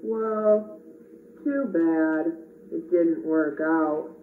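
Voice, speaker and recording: A woman voicing a slow, gentle, hesitant creature in a children's puppet show, full of drawn-out uhs and wells. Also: low-pitched. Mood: resigned. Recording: echoey, tinny, distant camera, faint noise.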